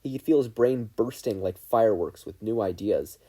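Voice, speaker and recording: A narrator giving an expressive scripted reading of fan fiction. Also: medium pitch, normal speed, husky. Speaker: podfic narrator.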